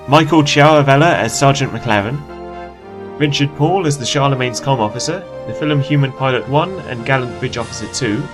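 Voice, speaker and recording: A man reading scripted credits as the announcer of an audio production. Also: medium pitch. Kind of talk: scripted narration. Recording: music behind the voice.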